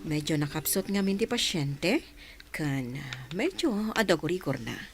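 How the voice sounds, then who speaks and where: A woman acting as a friendly, philosophical doctor in an Ilocano radio drama, teasing and expressive. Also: on the low side, measured pace, breathy edge, soft background music, full character voice. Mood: teasing, kindly.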